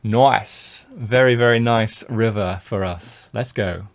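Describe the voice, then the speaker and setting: Man from the UK, low gravelly voice, poker stream commentary, thinking out loud, fillers and restarts. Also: takes it slowly. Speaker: poker coach streaming his play.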